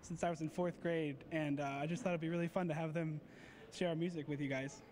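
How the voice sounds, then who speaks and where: A man's voice: fairly high pitch, fairly even intonation, fast talker, darkish tone. A young man in college answering an on-air interview with brief, easygoing replies. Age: young adult. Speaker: college singing group leader.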